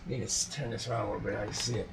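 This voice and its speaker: A man's voice: lowish voice, little pitch movement, very fast, gravelly. A man giving casual, unscripted commentary as a repair hobbyist, folksy and muttering to himself.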